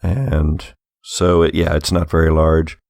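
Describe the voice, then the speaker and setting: A man narrating a tabletop game as game master in a measured, descriptive way. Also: rumbling low, flat delivery, gravelly, a little mellow, dry recording, studio quality.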